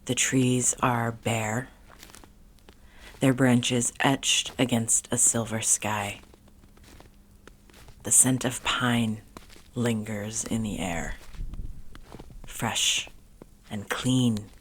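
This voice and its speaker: Very slow, low-pitched woman guiding meditation. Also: fairly even intonation, slight background noise, poor audio. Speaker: guided meditation narrator. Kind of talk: slow, soothing guided meditation.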